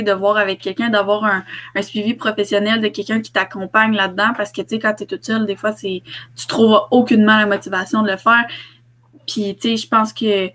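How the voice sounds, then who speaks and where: A woman's voice: medium pitch, fairly bright tone. A young woman with a Québécois French accent, talking informally and encouragingly in a casual group discussion on a video call. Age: young adult. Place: bedroom at home, on a video call. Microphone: computer mic on video call.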